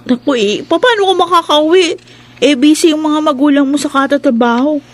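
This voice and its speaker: A woman's voice: sits high, brisk, lightly acted. An actress playing a sassy, teasing female college student in a radio drama. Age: young adult. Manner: teasing, bossy, playful.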